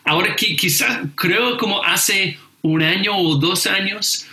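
Casting note: man, slow, halting delivery, bright tone, roomy echo. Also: high voice. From England. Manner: hesitant, many fillers and restarts. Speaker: seminary teacher, guest. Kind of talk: casual conversation.

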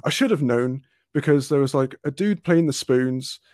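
A man's voice: medium pitch, normal speed, darkish tone, dry recording, clean studio sound. A man from Wales, telling rambling anecdotes in a casual chat, often restarting and laughing.

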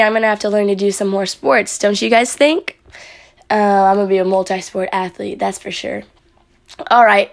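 A young woman with a raspy, hoarse voice from a week of coaching, chatting through a rambling recap vlog with lots of fillers. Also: medium pitch. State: hoarse from yelling while coaching. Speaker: vlogger and athlete.

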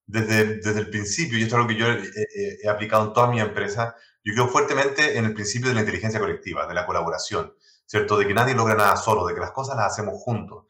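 A man with a Chilean accent, a guest in an interview, telling stories in quick bursts with many restarts; Spanish is not his first language. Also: fairly low pitch, sharp tone, echoey room. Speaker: guest, tech blog founder. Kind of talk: conversational interview.

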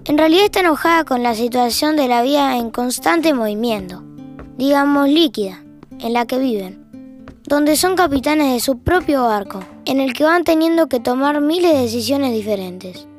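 A woman's voice: high-pitched, animated, moderate pace, faint music behind. A woman with an Argentine accent reading scripted, wry narration for an audio fiction.

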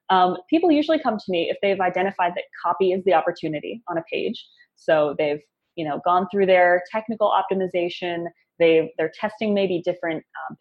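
Casting: woman copywriter guest, fairly low voice, upbeat and quick, joking asides, dull lo-fi call sound. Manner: upbeat, quick, self-deprecating humor. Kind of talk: casual interview talk.

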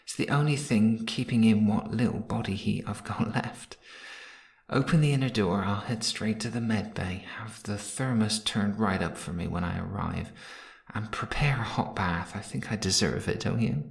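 An actor playing a stranded spaceship technician, wry and defiant, informal and sweary, in a sci-fi audio drama. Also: male voice, fairly low pitch, normal speed, creaky, reverberant. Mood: wry, weary.

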